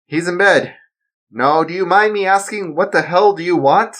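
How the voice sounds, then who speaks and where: A man's voice: a little high, very animated, moderate pace, fairly bright tone, voice pushed up. A man voicing a blunt protective father. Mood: defiant. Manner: reassuring to his son, defiant to enemies. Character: protective retired assassin father, steady and blunt. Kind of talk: amateur storytelling.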